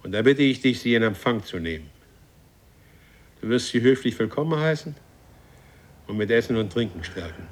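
An actor playing an imperious warrior from a future race in a radio play, with a commanding, grandiose and menacing way of speaking. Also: male voice, medium pitch, lively intonation, normal speed, long pauses, close to an everyday voice. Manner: commanding, grandiose, solemnly threatening. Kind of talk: German radio play acting.

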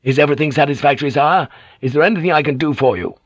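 A man's voice: a little high, racing, no echo, pitched above the performer's own voice, close to an everyday voice. Man doing a formal, deferential young lord, dull lo-fi recording. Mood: dutiful. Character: young male lord and heir, stiff, dutiful.

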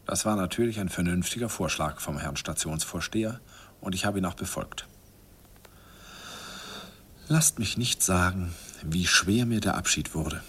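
A man's voice: sits low, normal speed, pauses often, a bit bright, slight background noise. An actor playing a wistful man who narrates memories of his youthful love in a confiding, self-mocking radio play style. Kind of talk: intimate first-person radio play narration.

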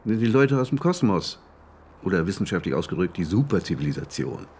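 A man's voice: low voice, sing-song, a little fast, darkish tone, slightly rough, heavily acted. A man playing a frightened, brooding astronomer with a sarcastic edge in a radio drama, speaking in an agitated, questioning way. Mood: wry.

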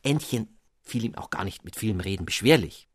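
A man narrating a fairy tale in a scripted, expressive storytelling reading. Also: on the low side, a little fast, husky, dry recording, clean studio sound.

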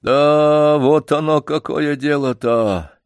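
A man's voice: on the high side, expressive, slow, gravelly, dry recording, pitched above the performer's own voice, heavily acted. A man voicing a frail old grandfather, a grumbling, plaintive circus wanderer in a story, speaking in a folksy, emphatic way. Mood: shaken. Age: older.

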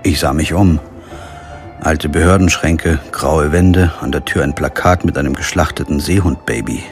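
A man playing a lawyer who narrates a crime radio drama in a measured, understated way. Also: extremely low pitch. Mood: sober, reflective. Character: male lawyer, sober narrator of a crime story. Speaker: narrator and lead actor. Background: music.

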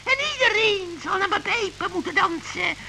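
A woman's voice: expressive, moderate pace, vocal fry, bright, forceful tone, recorded from a distance, slight background noise, exaggerated voice. A woman voicing a scheming, gloating female witch in an old children's radio play. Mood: triumphant. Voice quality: shrill, gloating.

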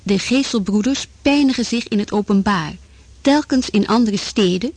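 An actress narrating a historical radio drama as a sober chronicler, in a measured, formal manner. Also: female voice, medium pitch, darkish tone, no echo, natural voice. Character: sober female chronicler of plague times. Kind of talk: scripted documentary-style radio drama narration.

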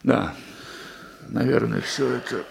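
A man's voice: mid-range voice, slow pace, vocal fry, echoey room, a little hiss or hum. An actor in a radio play voicing an embittered, lovesick murderer, a man speaking with dramatic, anguished intensity. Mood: bitter, broken. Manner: dramatic, impassioned, anguished delivery. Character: embittered male actor, obsessive lovesick murderer confessing his revenge. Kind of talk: theatrical radio play monologue.

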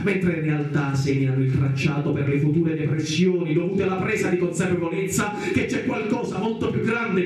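A zealous, pompous judge in a radio play. His high male voice declaims with theatrical, drawn-out emphasis. It rings in heavy echo, away from the mic. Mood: stern preaching. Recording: very echoey, distant from the mic.

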